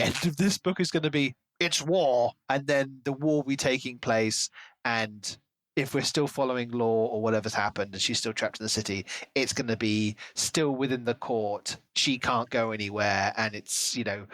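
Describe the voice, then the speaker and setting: A man co-hosting a casual book discussion, speculating in an expressive, wordy way. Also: mid-range voice, no echo. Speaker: podcast co-host discussing a novel. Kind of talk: casual book-club chat.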